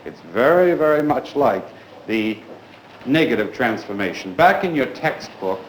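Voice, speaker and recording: Low-pitched male teacher in an echoey classroom. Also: very animated, a little slow. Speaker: schoolteacher leading a grammar class. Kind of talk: classroom instruction with questions to pupils. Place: indoors in a school classroom. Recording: echoey classroom recording with clear background noise.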